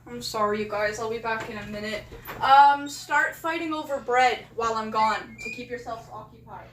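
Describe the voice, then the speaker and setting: Young woman, Canadian accent, high voice, scattered casual streamer chatter; echoey room. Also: moderate pace, slight background noise. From England. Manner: chatty and scattered, talking to chat while fiddling with her setup. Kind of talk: casual live-stream chat. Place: small rented room at a desk.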